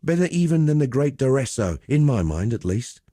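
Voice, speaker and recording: An actor playing a roguish, cheerful veteran pit fighter in a video game, talking in a colloquial, wry, swaggering storyteller's way. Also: male voice, mid-range voice, very animated, a little fast, mellow, dry recording, clean studio sound. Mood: earnest, admiring. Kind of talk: scripted game dialogue.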